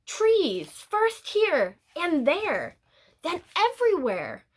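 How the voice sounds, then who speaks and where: A woman reading a picture book aloud as a teacher would, in a deliberate, expressive storytelling manner with drawn-out words and pauses. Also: very high voice. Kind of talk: picture-book read-aloud, scripted narration with brief asides.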